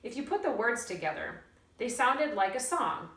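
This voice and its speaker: A woman reads a children's chapter book aloud with a fairly high, animated voice. She shapes the sentences like a storyteller. The sound is echoey and set back from the mic. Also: moderate pace. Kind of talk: expressive read-aloud storytelling. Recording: roomy echo, distant mic.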